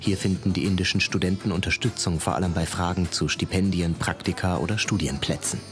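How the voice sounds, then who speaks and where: A male narrator with a very low voice reads a scripted documentary text. Music plays clearly behind him. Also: steady tone, brisk. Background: background music.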